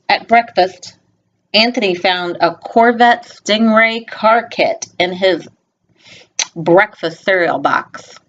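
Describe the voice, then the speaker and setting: A woman reading a picture book aloud to young children, expressive, with informal, gently instructive asides spoken directly to them. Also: fairly low pitch, a little hiss or hum. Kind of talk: read-aloud storytelling with chatty asides. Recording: dull lo-fi home video sound.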